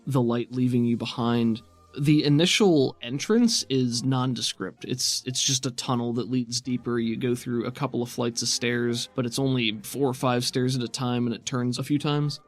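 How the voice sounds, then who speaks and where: A man running a tabletop game as game master, narrating scenes off the cuff in a casual, encouraging way. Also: medium pitch. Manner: encouraging, affirming. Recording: background music under the voice.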